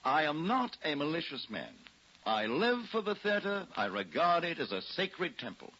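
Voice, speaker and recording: A man's voice: a little high, sing-song, moderate pace, bright, forceful tone, dull audio, exaggerated voice. A man playing a pompous, waspish newspaper drama critic in florid, condescending 1940s radio acting. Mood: self-righteous. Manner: florid, condescending, theatrical delivery. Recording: band-limited old radio broadcast.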